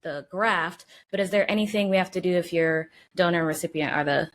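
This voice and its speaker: A woman's voice: lowish voice, brisk. A woman co-hosting a podcast interview, asking questions in a casual, conversational way. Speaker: podcast co-host.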